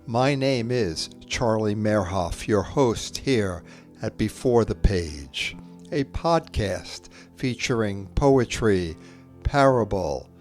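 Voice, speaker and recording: A man hosting a poetry podcast, reading scripted lines in a measured, deliberate way with long pauses between short phrases. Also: on the low side, animated, darkish tone, soft background music.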